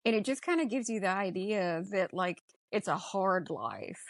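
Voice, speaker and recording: A woman's voice: mid-range voice, big pitch swings, normal speed, dry recording, clean studio sound. A woman hosting a podcast, talking casually through a film discussion. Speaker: podcast host.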